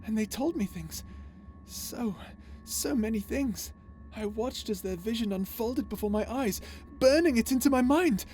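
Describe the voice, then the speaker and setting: A woman playing an unhinged, vengeful, lovesick and tormented space villain in an ASMR roleplay drama, speaking in a theatrical, halting way. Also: on the high side, lively intonation, a little mellow, slight background noise. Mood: haunted menace. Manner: theatrical, halting, swinging between tender menace and anguish.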